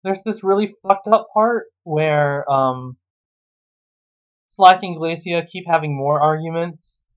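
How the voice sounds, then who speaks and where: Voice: medium pitch, takes it slowly, muffled recording. A sarcastic, exasperated reviewer who swears freely while giving casual commentary on a story.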